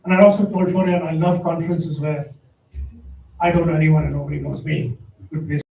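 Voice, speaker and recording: A man with a big, loud, high voice that still sounds dark, lecturing to a conference crowd and tossing in wry jokes. He is picked up from a distance in a roomy hall, with a faint hum of the room behind him. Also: fairly even intonation, fairly quick, creaky. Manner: direct, joking asides to the audience. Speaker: keynote speaker. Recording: echoey hall, distant mic, faint noise.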